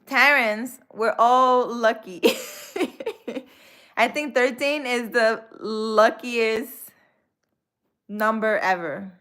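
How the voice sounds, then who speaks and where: Unhurried woman chatting on a live stream. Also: on the high side, pushed and bright, clear, resonant tone. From Dominican Republic. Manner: chatty, laughs often, drops in Spanish words. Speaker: live-stream host, spiritual healer. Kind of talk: casual live-stream chat with viewers.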